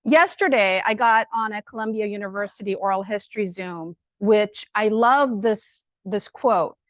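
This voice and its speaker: A middle-aged woman with a loud voice, giving a lively, conversational academic talk to an audience, full of fillers and enthusiasm. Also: medium pitch, moderate pace, no room sound. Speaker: guest speaker at an academic colloquium.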